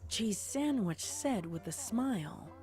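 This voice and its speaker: A woman's voice: a little high, animated, slow, music in the background. A woman reading scripted fan fiction narration as the storyteller.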